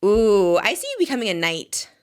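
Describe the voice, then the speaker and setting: A woman's voice: mid-range voice, sing-song, moderate pace. A woman in her thirties hosting a podcast, chatting in a bubbly, giggly way with many fillers. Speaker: podcast host. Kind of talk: casual chat.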